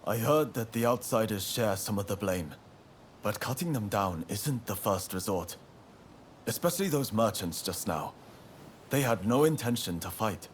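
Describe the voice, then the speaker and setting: An actor playing an honorable young samurai in a video game cutscene, speaking formally and solemnly in measured phrases. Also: male voice, on the low side, expressive, slight background noise, lightly acted. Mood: solemn, apologetic. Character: earnest, honorable young male samurai of Choshu. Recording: music behind the voice.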